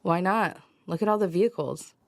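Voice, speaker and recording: A woman's voice: on the low side, moderate pace, big-room sound, lightly acted. A woman playing a weary, determined survivor, narrating a first-person audio drama and acting out her dialogue. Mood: concerned, insistent. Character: Ava, weary, determined young woman surviving an alien invasion. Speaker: narrator and lead actor.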